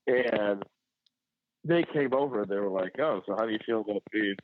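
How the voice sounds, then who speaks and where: Rambling chef on a tinny line. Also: male voice, on the low side, moderate pace, no echo. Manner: rambling storyteller, heavy use of "like". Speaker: chef, show finalist guest. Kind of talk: casual podcast interview.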